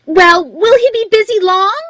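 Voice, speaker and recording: A woman voicing an excited little girl at bedtime in a live old-time radio play reading. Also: very high voice, measured pace. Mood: pleading. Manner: childlike and pleading.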